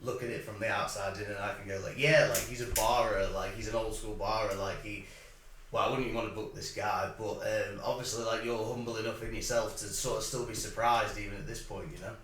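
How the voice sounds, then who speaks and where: A man's voice: on the low side, level tone, a little fast, a bit bright, far from the mic. A man hosts a casual podcast interview, asking long, rambling questions full of "like" in a friendly manner. His mid-range voice sits back from the mic in a very echoey room with faint noise behind. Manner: chatty, "like"-heavy, encouraging. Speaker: podcast host. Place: recording studio.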